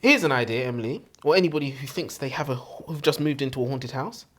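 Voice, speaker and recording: A man co-hosts a book review with very lively, swinging intonation. He is blunt and exasperated, swearing freely as he gives his opinions in casual chat. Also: mid-range voice, quick pace. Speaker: co-host of a book review show.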